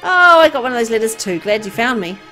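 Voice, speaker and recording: A woman's voice: a little high, sing-song, fast, bright, forceful tone, soft background music. A woman voicing a timid, poor young boy who is hesitant, apologetic and naively eager to please, for a game. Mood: relieved, nervous.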